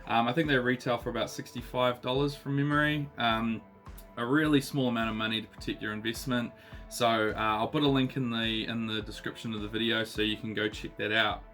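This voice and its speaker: A man in his thirties with a New Zealand accent, still a bit husky from illness, chatting casually as he unboxes trading cards with lots of ums and uhs. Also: medium pitch, steady tone, brisk, a bit bright, soft background music. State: husky, recovering voice. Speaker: card collecting channel host.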